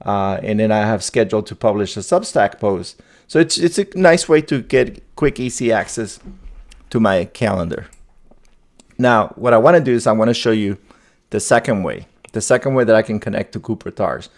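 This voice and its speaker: A man with a lowish voice hosts an informal tech walkthrough. He explains things step by step as if thinking aloud, sometimes repeating a phrase before moving on. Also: animated, normal speed. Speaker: tech video host. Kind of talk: casual tutorial screen demo.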